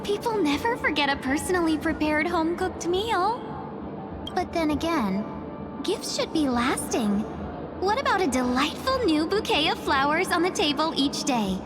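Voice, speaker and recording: A woman voicing a cheerful, scheming young merchant in a game, with loud music behind. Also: extremely high pitch, big pitch swings, normal speed. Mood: coaxing. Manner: sweet-talking, eager salesperson.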